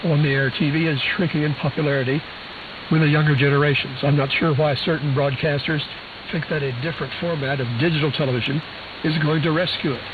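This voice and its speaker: A man's voice: a little high, steady tone, a little fast. Man reading news over hissy shortwave radio. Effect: shortwave radio transmission. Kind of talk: scripted news bulletin reading. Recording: hissy, phone-like shortwave radio reception, heavy static.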